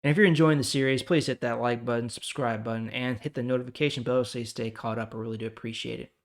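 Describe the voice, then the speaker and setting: A man with a mid-range voice hosts a devotional Bible reading. He moves between storytelling and casual commentary, leaning on key words with dramatic pauses. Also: mid-range voice, fairly even intonation, vocal fry. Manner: conversational, dramatic pauses and emphasis. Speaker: host of a Bible reading series.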